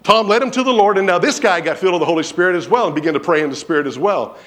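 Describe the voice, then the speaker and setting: High-voiced preaching man telling stories. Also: very fast. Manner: animated storyteller, acts out quotes. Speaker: pastor. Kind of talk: sermon with storytelling. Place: church sanctuary.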